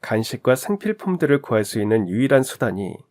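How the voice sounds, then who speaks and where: Guy telling a story like a radio drama, chatty, talks right to you, really lively intonation. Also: mid-range voice, fast talker. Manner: conversational, addressing listeners directly. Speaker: story narrator.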